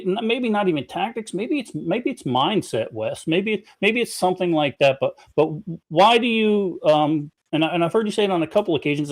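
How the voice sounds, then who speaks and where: A man hosting a podcast, asking long rambling questions with many restarts. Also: on the high side. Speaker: podcast host. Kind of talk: casual interview talk.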